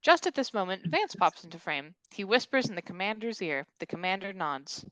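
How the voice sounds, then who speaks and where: Female narrator, stage directions, comedy table read, medium pitch, dry recording. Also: very animated, fairly quick, fairly bright tone, no echo, low-quality recording.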